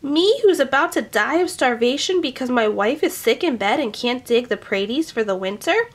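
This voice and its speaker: A woman's voice: high-pitched, animated, moderate pace. Woman playing a boastful, lazy peasant husband. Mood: self-pitying. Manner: boastful, complaining.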